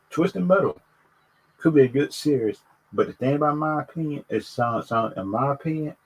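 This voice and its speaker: A man's voice: medium pitch, a bit bright, a little hiss or hum, low-quality recording. A man chatting casually and unscripted to viewers as a livestream host, stammering and repeating his phrases as he gives opinions. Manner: halting, repetitive, stammering over phrases.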